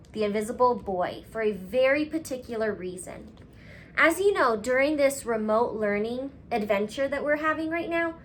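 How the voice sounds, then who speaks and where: Animated woman teacher reading to children. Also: high voice, slight background noise. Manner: affectionate, gentle emphasis to young pupils. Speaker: school teacher. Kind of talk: expressive storybook read-aloud for children.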